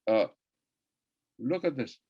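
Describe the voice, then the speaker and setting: A man's voice: medium pitch, lively intonation, slow pace, breathy edge. An older man whose first language is Persian gives an academic lecture, wandering between points and repeating phrases for emphasis. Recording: muffled lo-fi recording.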